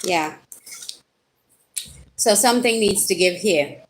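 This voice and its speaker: A woman's voice: mid-range voice, slow pace, a little hiss or hum, rough recording. A woman with a Trinidadian accent giving a casual live tarot reading, chatting and teasing her viewers directly with sudden rising exclamations. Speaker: tarot reader.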